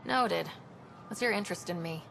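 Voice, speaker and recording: An actress playing a confident young team leader in a video game, wry and direct. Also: female voice, fairly low pitch, moderate pace, vocal fry, fairly bright tone, slight background noise.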